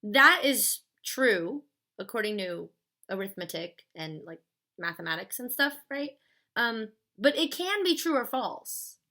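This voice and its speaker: Female lecturer, 30s, bright and slightly high voice, casual and conversational delivery. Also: very animated, a little slow. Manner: chatty, uses fillers like "like" and "stuff". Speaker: philosophy lecturer. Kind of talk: casual classroom explanation.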